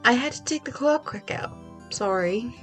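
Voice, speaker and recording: A woman with a stuffy voice voicing a calm, measured older sister who is a lawyer, gently teasing in a video game read-along. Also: a little high, faint music behind.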